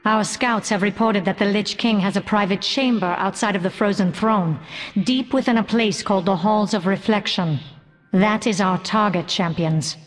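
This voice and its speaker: An actress playing a resolute female commander in a video game, briefing champions in a measured, formal way. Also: medium pitch, soft background music, lightly acted. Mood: resolute, grave. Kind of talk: video game quest dialogue. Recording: long cavernous reverb.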